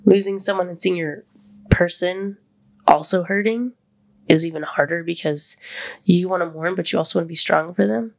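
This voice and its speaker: Congested woman with a gravelly voice. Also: on the low side, fairly even intonation, moderate pace. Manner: open and vulnerable, near tears. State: congested, voice deeper than usual. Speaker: podcast host. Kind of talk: casual heartfelt chat.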